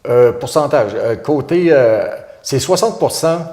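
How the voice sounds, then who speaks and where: A man's voice: medium pitch, expressive, unhurried, mellow, echoey room. A man, a volunteer radio host answering interview questions with many hesitations and restarts. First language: French.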